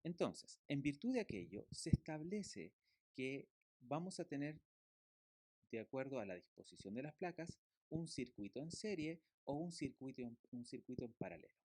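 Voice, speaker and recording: A male lecturer walks through his slides in a voice that rises and falls a great deal. He explains patiently, pausing on fillers and checking in with his listeners. The sound is close and dry, with no room around it. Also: medium pitch, sing-song, darkish tone, no echo. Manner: explanatory, with fillers and tag questions. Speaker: physiotherapy lecturer.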